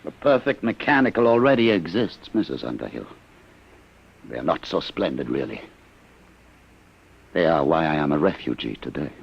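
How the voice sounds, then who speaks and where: Very deep, gravelly haunted refugee. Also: male voice, sing-song, normal speed, pauses often, heavily acted. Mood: weary, grave. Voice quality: gravelly, husky. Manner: courteous, grave, dramatic. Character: weary, haunted elderly refugee inventor. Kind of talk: 1950s radio drama acting. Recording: dull vintage radio recording.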